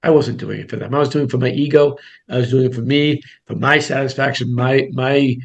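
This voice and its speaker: A gravelly-voiced man in his sixties leads a real estate coaching webinar. He talks bluntly and casually, throwing in self-deprecating jokes. His delivery stays flat, with little rise and fall. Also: medium pitch, fairly quick. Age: 60s. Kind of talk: webinar presentation.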